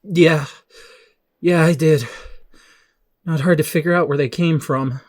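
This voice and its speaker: A man's voice: fairly high pitch, steady tone, measured pace. A man playing a popular teenage jock in an audio drama, concerned and direct.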